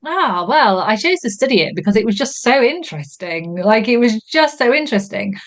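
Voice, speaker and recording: Lady in her 30s, english teacher chatting away, keeps saying you know, repeats herself when excited. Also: a little high, fairly quick. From Yorkshire, England. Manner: chatty, enthusiastic, repeats phrases with many "you know" fillers. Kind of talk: casual conversation.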